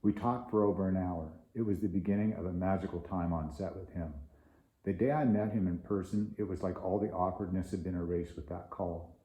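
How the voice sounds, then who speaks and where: A man's voice: low voice, fairly even intonation, fairly quick, warm tone. A man telling stories from his working life in film, partly reading aloud from his own memoir. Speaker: film property master and author. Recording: roomy echo.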